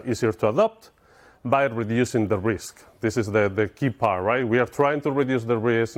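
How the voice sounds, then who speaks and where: A middle-aged man with a Spanish accent, giving an informal technical talk to an audience in a conference room. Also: medium pitch. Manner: informal, explanatory, addresses audience with questions. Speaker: conference speaker.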